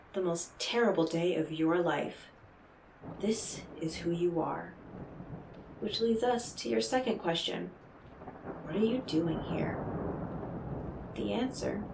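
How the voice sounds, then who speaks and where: A woman playing an enigmatic, smooth-talking otherworldly stranger in an audio roleplay, speaking in a coaxing, knowing, theatrical way with dramatic pauses. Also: mid-range voice. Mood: soft, knowing. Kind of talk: scripted audio roleplay monologue addressed to the listener. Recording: roomy echo, clearly noisy background.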